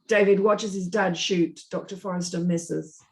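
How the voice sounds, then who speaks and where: A woman's voice: lowish voice, fairly even intonation, moderate pace, a little mellow. A woman narrating the action lines of a screenplay as host of a script reading.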